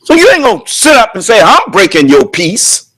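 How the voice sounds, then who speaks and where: Loud, high-voiced preaching man. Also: big pitch swings, normal speed, slightly rough, poor audio. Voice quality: loud, booming voice. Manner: emphatic preaching with rhetorical questions. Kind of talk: sermon at a prayer service.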